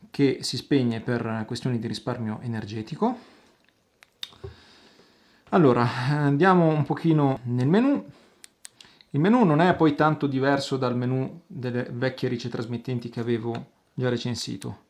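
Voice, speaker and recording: A man with a mid-pitched voice presents a product review in an informal way. He explains each point step by step, pausing now and then as he looks for the next word. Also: no echo. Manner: explanatory, step-by-step, with hesitant pauses.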